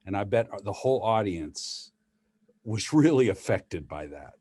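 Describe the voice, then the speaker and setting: A man with a low voice talks effusively and informally, often restarting his phrases. The sound is dry, captured on a video call. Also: lively intonation, measured pace, a little mellow, husky, no room sound. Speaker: actor. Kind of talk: casual post-show discussion. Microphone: laptop video call.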